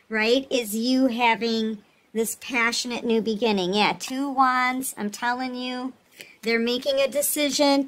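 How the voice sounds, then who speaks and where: A woman's voice: fairly high pitch, a bit bright. A woman giving a casual, reassuring tarot reading, speaking straight to the listener and often checking in. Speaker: tarot reader, host.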